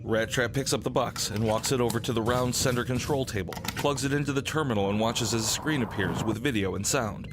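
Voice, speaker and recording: A man's voice: medium pitch, fairly quick, hardly any pauses, background noise. A man narrating a scripted radio drama, describing the action in the present tense. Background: music.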